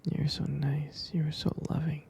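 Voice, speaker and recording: A man playing a tender, devoted husband in an intimate ASMR roleplay, speaking slowly and hesitantly in a soft, breathy, creaky whisper. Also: fairly high pitch, level tone, full character voice. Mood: adoring, tender. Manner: slow, soft, hesitant, doting murmur. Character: tender, devoted husband comforting his pregnant partner.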